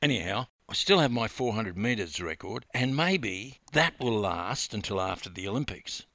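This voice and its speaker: A man's voice: fairly low pitch, animated, moderate pace, bright, forceful tone, no room sound, studio quality. A man voicing a proud, snappish woman champion in a narrated story. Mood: inwardly resentful. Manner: snappish, brooding. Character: proud, irritable woman swimming champion losing her records.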